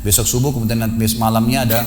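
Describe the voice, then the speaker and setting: A man preaching at a mosque gathering, telling a story with lively, acted-out dialogue. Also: rapid-fire, a little hiss or hum. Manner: animated storytelling, acts out dialogue with rising pitch.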